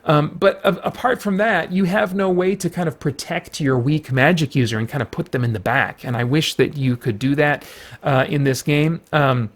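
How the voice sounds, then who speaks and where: Man, game reviewer, talky and unscripted, slightly higher voice, lots of "um" and "you know". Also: moderate pace. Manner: rambling, frequent fillers and restarts. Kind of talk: casual spoken review.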